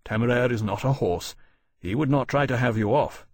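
A man plays a proud gentleman of a storybook, formal and courteous in his speech. His voice is mid-range and rough with creak, recorded very dry. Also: mid-range voice, normal speed, no room sound, lightly acted. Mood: reassuring.

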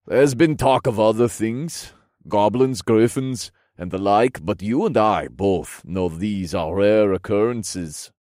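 A man voicing a regal, genial fantasy king with formal, measured phrasing in an audiobook. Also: on the low side, darkish tone, no echo, studio quality. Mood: curious, stately.

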